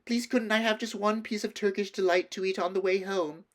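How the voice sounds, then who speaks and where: A man's voice: sits high, steady tone, brisk, voice pushed up, natural voice. A man voicing a greedy, sulky young boy with a petulant manner in a storybook. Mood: pleading. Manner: petulant, pleading.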